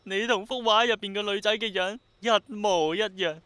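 A man's voice: high-pitched, normal speed, sharp tone, clear, resonant tone, no echo. An actor with a Hong Kong Cantonese accent playing an earnest, dreamy young man who is obsessed with bringing back rain, in a radio drama. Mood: earnest, excited. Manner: passionate, persuasive, romantic-minded.

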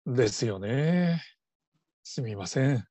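A timid middle-aged trainee acted by a man, slow and hesitant in delivery. The voice is mellow and closely recorded with little room sound. He comes across as humble and apologetic, in a radio-drama style. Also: mid-range voice, animated, husky, no room sound. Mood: timid, flustered.

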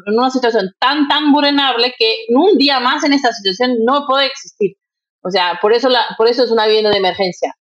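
A woman's voice: fairly high pitch, a bit bright. Brazilian woman in a dull recording. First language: Portuguese. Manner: thinks aloud, frequent tag questions. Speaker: interview guest, nonprofit executive director. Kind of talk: conversational interview answers.

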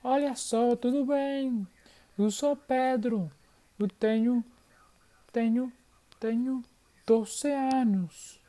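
A performer putting on a bright young boy from Argentina who is still learning Portuguese. He speaks slowly and haltingly, in a mellow tone, and repeats words while he hunts for the next one. His voice sits well above the performer's usual pitch. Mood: eager.

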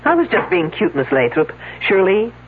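A woman's voice: lowish voice, big pitch swings, slightly rough. Wisecracking woman detective, tinny old radio. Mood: probing, wry. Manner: sardonic, brisk questioning. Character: wisecracking, skeptical, tough woman police detective. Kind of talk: 1970s radio drama acting.

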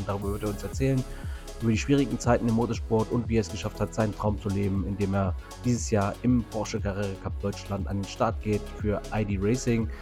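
A man hosting a motorsport podcast, chatting casually and giving frequent short backchannels. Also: lowish voice. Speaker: podcast host. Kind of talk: casual interview chat.

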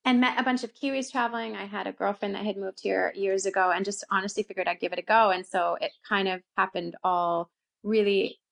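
A woman's voice: mid-range voice, steady tone, a little fast, creaky, fairly bright tone. A woman from Canada with a Canadian accent, talking conversationally about herself as an interview guest. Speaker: interview guest, co-working space founder. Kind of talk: conversational personal storytelling in an interview.

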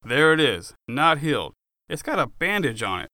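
A man's voice: fairly high pitch, sing-song, normal speed, sharp tone, dry recording, studio quality. A man voicing a stubborn, wounded gunfighter in an audiobook, talking in a wry, defensive and incredulous way.

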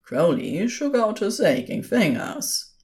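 A woman narrating scripted audio fiction as a storyteller, with an expressive, measured delivery. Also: mid-range voice.

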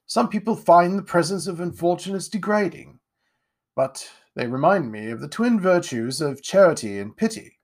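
A man's voice: sits high, expressive, moderate pace, pitched above the performer's own voice. A man voicing a pompous, condescending wealthy nobleman with a grand, theatrical manner in a storybook reading. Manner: grand, theatrical, plummy delivery.

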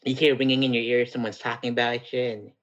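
A guest comedian chats calmly in a middle-pitched voice, pausing to find his words. His audio sounds dull and lo-fi. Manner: calm, halting, says "indeed". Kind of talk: casual chat.

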